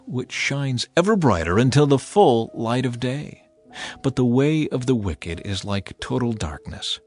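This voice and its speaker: A man's voice: mid-range voice, darkish tone. A man giving a dramatized reading of scripture as a narrator, theatrical and emphatic, in the manner of someone urging a listener. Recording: music bed under the voice. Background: music.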